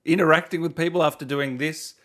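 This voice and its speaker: A man's voice: on the high side, steady tone, fast talker, a bit bright. A man hosting a casual interview in an enthusiastic, informal, slangy way. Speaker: interviewer, host. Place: remote video call.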